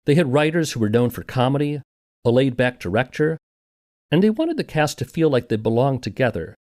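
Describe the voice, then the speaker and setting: A man's voice: mid-range voice, lively intonation, brisk, a little mellow. A man narrating a scripted documentary podcast as its host and interviewer.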